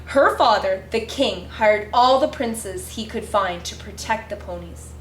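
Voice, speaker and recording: A woman's voice: medium pitch, unhurried, a little hiss or hum. A woman reading a picture book aloud in character, with girlish, dramatic expression and exaggerated reactions. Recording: roomy echo with faint music.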